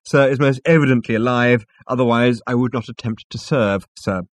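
A man's voice: medium pitch, very animated, normal speed, darkish tone, no room sound. Man playing a prim little alien waiter, patiently condescending. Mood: politely strained. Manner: formal, obsequious, clipped servant's politeness. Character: small wizened green alien waiter, patiently polite, faintly sarcastic.

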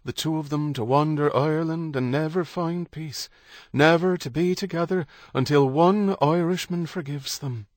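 A man's voice: on the high side, normal speed, no room sound, pitched above the performer's own voice, natural voice. A male narrator takes on a young Irish mother in a dramatic novel. She is fierce and firm, pressing her case with pleading insistence. The delivery is close and dry. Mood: firm, fearful.

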